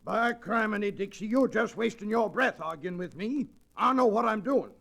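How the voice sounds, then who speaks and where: An actor playing an irascible, stubborn old cattle rancher with a blustering, folksy American Western manner, in old-time radio style. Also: male voice, sits high, animated, normal speed, vocal fry, a bit bright, full character voice. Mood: indignant, stubborn. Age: older. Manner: blustering, folksy frontier talk. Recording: restored vintage radio recording.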